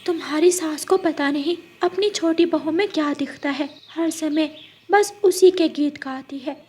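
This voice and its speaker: A woman voicing a kind, hardworking young widowed female sparrow who speaks gently and sadly in a cartoon. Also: sits high, a little slow, voice pushed up. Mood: sorrowful. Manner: gentle, sorrowful.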